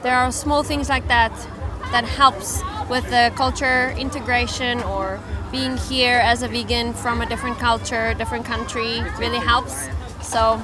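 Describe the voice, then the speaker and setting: A woman's voice: a little high, fairly even intonation, unhurried. A woman chatting casually in a street interview about living abroad. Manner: chatty, light laughter. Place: busy city street, outdoors. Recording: street crowd and music behind.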